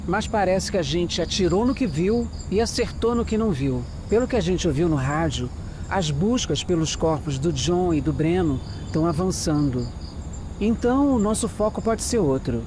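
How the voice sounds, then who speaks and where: A woman's voice: lowish voice, lively intonation, moderate pace, natural voice. Woman acting as smart composed lady detective, audio drama, sure of herself, crickets and noise behind. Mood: wary, assured. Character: sharp, composed female amateur detective, deductive and wary.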